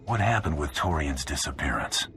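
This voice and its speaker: Game bounty hunter, extremely low voice, gruff, terse, cocky, music underneath. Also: male voice, expressive, moderate pace, a bit bright. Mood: businesslike. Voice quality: very deep voice. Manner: terse, dry. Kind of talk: video game acting.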